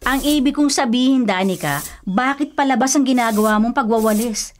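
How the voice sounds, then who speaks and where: Woman acting a high-pitched, fussy superstitious older sister. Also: a little fast, close to an everyday voice. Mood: bossy, irritated. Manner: scolding, insistent, anxious about bad luck. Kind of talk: radio drama acting.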